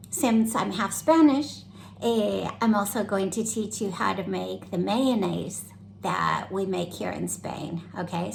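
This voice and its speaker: Chatty American woman with gravelly voice. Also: medium pitch, a little hiss or hum, low-quality recording. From United States. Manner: chatty, tags sentences with "okay?". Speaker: club activity host. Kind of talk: home cooking demonstration. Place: home kitchen.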